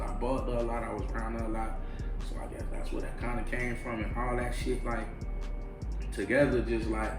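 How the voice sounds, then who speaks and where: A man from Florida, a rapper, chatting loosely with lots of slang and swearing in an interview. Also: mid-range voice. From Fort Lauderdale, Florida. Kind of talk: casual interview chat. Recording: echoey room, mic far off, music behind.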